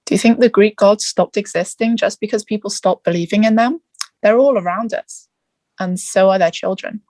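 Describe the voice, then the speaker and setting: A woman playing a patient male centaur teacher, measured and explanatory, in a theatre table read. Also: medium pitch, darkish tone, dry recording, lightly acted. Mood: calm, explanatory.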